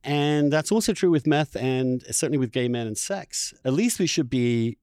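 A male podcast host in animated interview conversation, talking discursively and emphatically, building his points in repeated phrases. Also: medium pitch, normal speed, clean tone, dry recording, clean studio sound.

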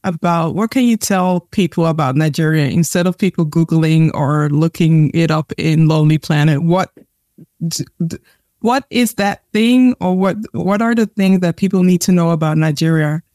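A woman's voice: low voice, normal speed, warm tone, no echo, clean studio sound. A woman hosting a podcast interview, asking her guest encouraging questions. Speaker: podcast host.

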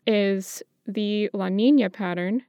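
An American woman with a smooth, clear voice and a very dry sound. She co-hosts a conversational show, laying out explanations in a measured way. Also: medium pitch, mellow, no echo. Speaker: podcast co-host.

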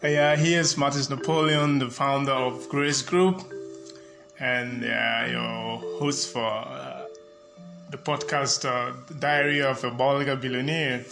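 Halting young Ghanaian man over music. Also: mid-range voice, steady tone, creaky. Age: young adult. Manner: halting, repeats words. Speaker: podcast host. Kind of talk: casual personal storytelling to listeners.

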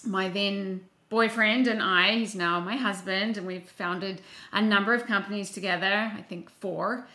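A woman from New Zealand with a clear New Zealand accent talks through her background in a chatty, self-deprecating way, full of small fillers. Her voice is bright and forceful, and it sounds roomy, as if recorded in an echoey space. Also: medium pitch, steady tone, unhurried, hardly any pauses. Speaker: guest. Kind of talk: casual interview talk.

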